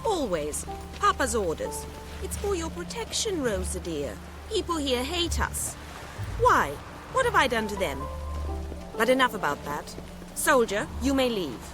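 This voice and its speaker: A woman's voice: on the high side, wildly swooping pitch, unhurried. A woman playing a spirited, haughty young noblewoman in a video game, well-spoken and formal in her phrasing. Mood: imperious. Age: young adult. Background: background music.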